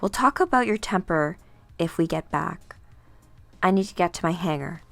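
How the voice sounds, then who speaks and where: A woman giving a dramatic, theatrical read-aloud of a comic book, acting out the characters slowly and deliberately. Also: lowish voice, expressive, gravelly, a little hiss or hum. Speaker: comic book reader performing all characters.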